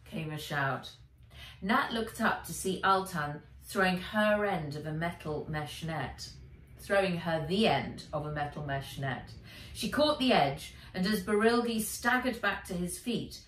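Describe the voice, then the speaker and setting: A middle-aged woman reading an adventure story for children aloud, with expressive, dramatic, emphatic delivery. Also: on the low side, far from the mic. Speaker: author reading her own book aloud. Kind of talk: dramatic audiobook-style narration for children. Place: indoors, bright room with armchair. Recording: roomy, echoey, away from mic.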